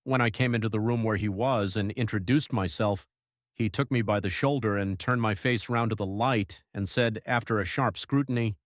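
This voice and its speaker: Low-voiced man reading as an earnest young husband. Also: normal speed, no room sound, clean studio sound, natural voice. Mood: warm recounting. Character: earnest young English husband writing his journal, newly confident. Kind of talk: audiobook narration of a diary.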